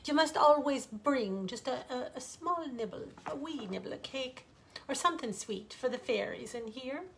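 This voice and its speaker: A grand storybook fairy queen, played by a woman reading aloud. She speaks in a fairly high voice with regal emphasis and quaint, old-fashioned turns of phrase. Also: lively intonation, normal speed, gravelly, a bit bright, a little hiss or hum, exaggerated voice. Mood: coaxing. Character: grand, decisive fairy queen, whimsically archaic.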